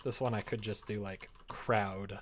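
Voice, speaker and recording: A man streaming as he writes a visual novel, chatting casually and thinking out loud as he goes. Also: lowish voice, fairly even intonation, slight background noise. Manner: thinks aloud, reads typed prose slowly and haltingly. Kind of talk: casual livestream chat, murmuring draft lines while writing.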